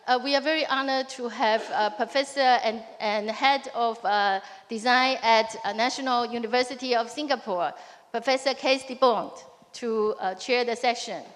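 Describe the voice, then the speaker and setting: High-voiced woman moderating a stage panel. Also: fairly bright tone. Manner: halting, many fillers and restarts. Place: large hall on stage. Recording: reverberant hall, faint music.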